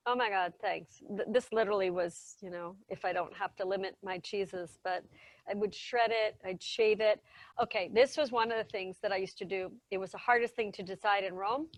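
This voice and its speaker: A woman's voice: mid-range voice, fairly even intonation, normal speed, no echo, poor audio. Chatty woman explaining cheesemaking. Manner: chatty, enthusiastic, self-correcting. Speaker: cheese expert presenter. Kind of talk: casual explanatory talk.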